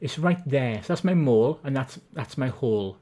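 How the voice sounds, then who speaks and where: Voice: mid-range voice, animated, normal speed, mellow. A live streamer with a Swansea Welsh accent chatting casually, reading viewers' comments and joking at their own expense. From Wales. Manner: chatty, self-deprecating, reads chat aloud.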